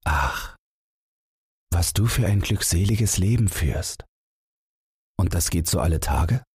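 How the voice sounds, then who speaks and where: A man's voice: sits low, animated, slow, darkish tone, breathy edge, no room sound, studio quality, full character voice. A man voicing a timid, sad young man down on his luck in a fairy tale audiobook, humble and hesitant. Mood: sorrowful.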